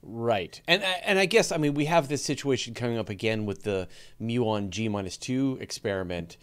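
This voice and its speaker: A man's voice: medium pitch, lively intonation, normal speed, clean tone. A man hosting a conversational science podcast interview, feeling his way tentatively through his questions.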